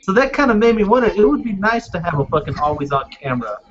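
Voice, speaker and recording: A man's voice: sits high, big pitch swings, fast talker, a crowd in the background. A blunt, crude man chatting casually and profanely as a podcast panelist. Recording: muffled lo-fi audio with faint noise.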